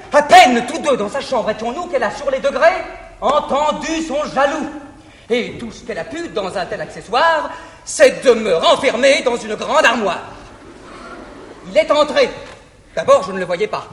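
Boastful young lover, very high voice, laughing through verse, echoey hall. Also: male voice, a bit bright, a little hiss or hum. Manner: breathless, laughing storytelling. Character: eager young male lover, giddy and boastful. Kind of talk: declaimed verse stage acting. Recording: echoey 1959 theatre recording.

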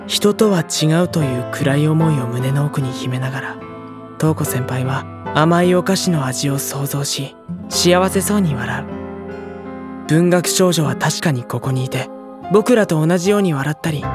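An actor playing a gentle, polite teenage schoolboy who narrates and speaks in an audio drama, soft and reflective. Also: medium pitch, close to an everyday voice.

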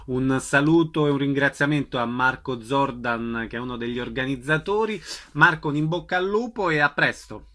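A man's voice: mid-range voice, moderate pace, pushed and bright. A man hosting a radio show, announcing events and putting short questions to his guests. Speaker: radio host.

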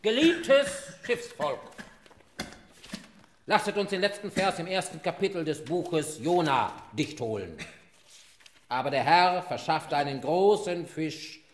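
A man's voice: fairly high pitch, animated, a little slow, a little hiss or hum. A man playing a venerable old seafaring preacher in a radio play, commanding and solemn, with declamatory pulpit delivery. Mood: solemn, exhorting. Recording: roomy church-like echo.

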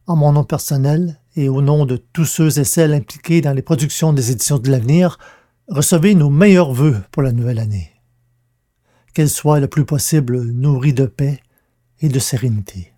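A man hosting a podcast, calm and formal as he closes the show. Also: fairly high pitch. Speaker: podcast host.

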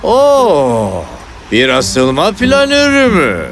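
A man's voice: on the high side, huge pitch swings, extremely slow, fairly bright tone, slight background noise, heavily acted. A man playing a wary, curious penguin chief in a fairy-tale cartoon, with a drawling, puzzled delivery. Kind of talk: animated fairy tale acting.

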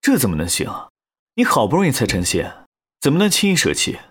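Wildly expressive roguish demon. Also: male voice, mid-range voice, a little fast, husky, heavily acted. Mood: alarmed. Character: roguish, proud male demon, devoted and teasing. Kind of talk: Chinese audio drama acting.

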